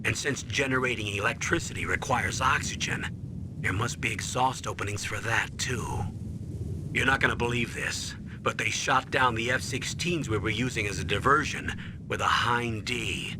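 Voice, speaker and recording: A man voicing an older male colonel giving measured orders over the radio, in a noisy game cutscene. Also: mid-range voice, close to an everyday voice. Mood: urgent. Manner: measured, commanding.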